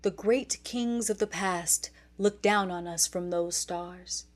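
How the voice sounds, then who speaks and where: A woman's voice: on the low side, takes it slowly. A woman voicing a solemn, protective male lion king and father in a storybook. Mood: tender, solemn.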